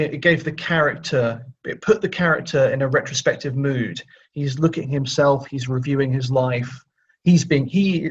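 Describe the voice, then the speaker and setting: Male interview guest, writer type: chatty, self-correcting, husky edge, conversational delivery, slightly muffled lo-fi sound. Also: medium pitch. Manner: chatty, thinking aloud with frequent self-corrections. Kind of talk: casual conversational interview answers.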